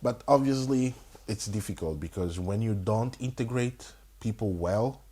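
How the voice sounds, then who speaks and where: A very low, husky male voice with an Italian accent. He is a podcast co-host in his thirties. He talks frankly and reflectively, with frequent fillers and restarts. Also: measured pace, dark tone. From Italy. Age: 30s. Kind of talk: casual conversation.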